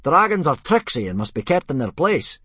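A man voicing a pompous Viking warrior who tries to sound noble, loud and blustering. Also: a little high, very animated, normal speed, husky, dry recording, voice pushed up, heavily acted.

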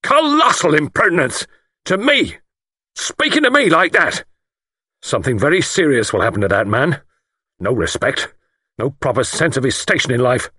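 A man's voice: mid-range voice, animated, brisk, fairly bright tone, husky, no echo, clean studio sound, voice pushed up. A man voicing a pompous, easily outraged older English lord in an audiobook, spluttering with indignation and self-importance.

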